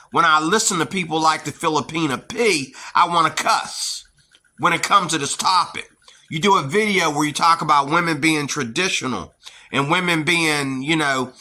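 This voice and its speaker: Forceful, preachy American man. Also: fairly high pitch. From United States. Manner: preachy and blunt, scolding with emphatic repetition. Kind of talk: heated opinion monologue.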